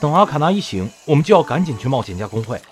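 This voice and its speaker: A man's voice: medium pitch, sing-song, racing, music in the background, close to an everyday voice. A man playing an earnest, dutiful young adventurer with a reassuring manner in an audio drama. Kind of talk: Chinese audio drama acting.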